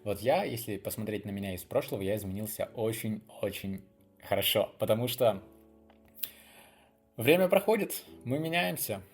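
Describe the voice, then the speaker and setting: A man's voice: fairly low pitch, lively intonation, normal speed, slightly rough, faint music behind. A young man telling personal stories to viewers in a casual, unscripted way, chatty and rambling as he thinks aloud. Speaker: video blogger hosting his channel.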